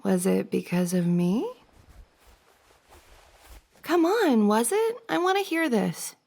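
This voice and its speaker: A woman playing a giggly, teasing young woman confessing to her crush in an intimate ASMR roleplay, with a creaky voice. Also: on the high side, very animated, slow, a little mellow, heavily acted. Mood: sheepish, curious. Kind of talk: intimate ASMR roleplay, acted as one side of a conversation.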